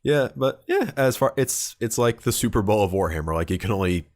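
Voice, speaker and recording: Male co-host, low-pitched voice, casual slangy hobby chat, some swearing. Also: lively intonation, a little fast. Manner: informal, slangy, profane. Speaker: podcast co-host. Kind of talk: casual chat about tabletop wargaming.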